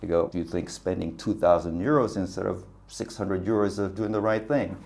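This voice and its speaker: Very low-voiced man telling work stories. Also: brisk, creaky. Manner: animated, laughs often, acts out quoted dialogue. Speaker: guest, business consultant. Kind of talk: casual interview storytelling.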